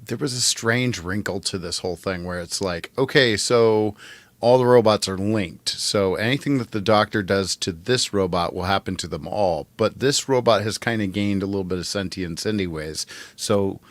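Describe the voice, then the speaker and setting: A man's voice: on the low side, moderate pace, no echo. A man co-hosting a podcast, casually puzzling things out in a questioning way. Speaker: podcast co-host. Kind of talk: casual discussion and review.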